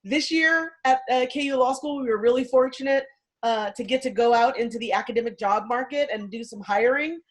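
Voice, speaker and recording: A woman from California talks on a video call in a lively, quick manner. Her voice is fairly high. She tosses in quick asides as she goes. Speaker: law professor. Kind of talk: casual talk on a video call.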